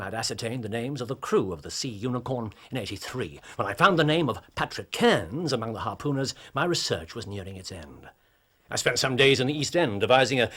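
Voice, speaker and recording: A brilliant, self-assured detective for a radio play. His husky voice moves with lively pitch, and he explains in a crisp, precise way. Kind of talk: radio drama acting.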